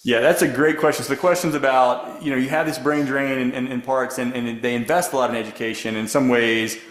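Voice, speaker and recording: A middle-aged man answering audience questions after a lecture. His voice sits at an ordinary pitch, and he circles through restarts and hedged phrasing. The room gives it a noticeable echo. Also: steady tone, a little fast. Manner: rambling, self-correcting, many restarts. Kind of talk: academic Q&A answers. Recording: echoey room.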